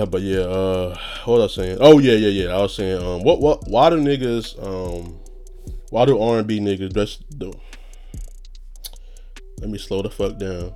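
Laid-back low-voiced young man rambling. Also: a little slow, warm tone, faint music behind. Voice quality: slightly nasal. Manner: loose, rambling, profane, laughs often. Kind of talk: casual monologue.

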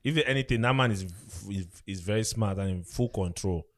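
A young man with a Nigerian accent in casual political chat, lively and slipping into pidgin phrases. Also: on the low side, brisk.

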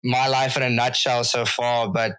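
Young man, smooth and bright. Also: medium pitch, normal speed, dry recording, poor audio. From South Africa. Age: young adult. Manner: relaxed and friendly, with many fillers. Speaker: rugby player, guest. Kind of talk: casual interview.

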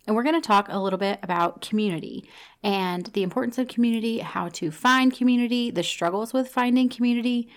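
A woman in her thirties hosting a podcast, talking earnestly and thinking aloud with fillers. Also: medium pitch. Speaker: podcast host. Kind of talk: conversational podcast talk.

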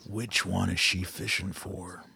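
An actor playing a gruff, irritable male colonel in a radio play, blunt, curt and suspicious, with a gravelly voice. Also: deep voice, flat delivery, a little slow, sharp tone, heavily acted. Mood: wary, annoyed.

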